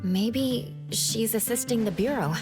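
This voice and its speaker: A woman's voice: on the high side, measured pace, music in the background, close to an everyday voice. An actress playing an earnest, thoughtful young woman in a video game, with an inquisitive manner. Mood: curious.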